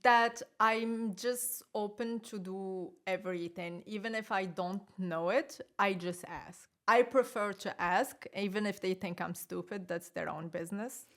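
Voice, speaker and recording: A woman from Morocco, a podcast guest talking casually, with lively emphasis and frequent laughter. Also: medium pitch, measured pace, hardly any pauses. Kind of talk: casual interview chat.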